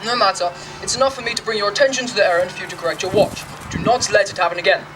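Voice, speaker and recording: A young man playing a precise, formal English gentleman who is fussy about time, in clipped speech on an outdoor stage. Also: high-pitched, steady tone, rapid-fire, noisy background, natural voice. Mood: stern. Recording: distant mic, open-air theatre.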